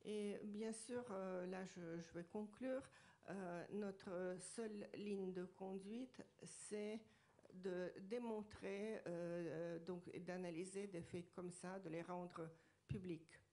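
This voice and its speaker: A woman interpreting a speaker at a conference, speaking in a halting way with many fillers. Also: lowish voice, fairly even intonation, mellow. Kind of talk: consecutive interpreting.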